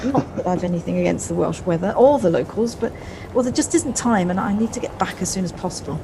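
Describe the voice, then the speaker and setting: A woman in an audio play voicing a brisk, fretful, well-spoken wife with a wry, slightly snobbish manner, recorded at home on a personal device. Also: fairly low pitch, expressive, noisy background. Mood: brisk, dismissive.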